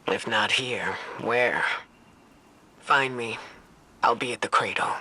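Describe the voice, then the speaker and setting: A woman acting a grave, scholarly mystic warrior in a game cutscene, speaking formally and with quiet reverence. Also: very deep voice, slightly rough, full character voice. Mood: reverent, yearning. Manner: measured, formal, solemn. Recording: faint background ambience.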